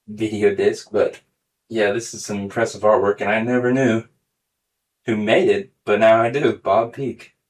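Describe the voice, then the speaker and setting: A man hosting a casual hands-on tech demonstration video, talking through each step as he goes. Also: fairly low pitch. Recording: clearly noisy recording with clicks.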